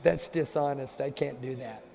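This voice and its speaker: A man voicing a shy, honest, gentle young woman who speaks softly. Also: mid-range voice, moderate pace, creaky, pitched below the performer's own voice. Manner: soft, quiet, reluctant. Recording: long hall reverb.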